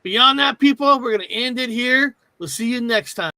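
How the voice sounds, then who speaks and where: Co-host, male, high and bright, loose rambling delivery, dry sound. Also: moderate pace, no echo. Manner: rambling, repeats filler words. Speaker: co-host of a paranormal talk show. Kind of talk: casual live-stream chat.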